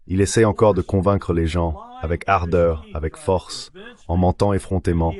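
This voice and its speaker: Deep male AI voice, political commentator, translated voice-over. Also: a little mellow. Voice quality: synthetic AI dubbing voice.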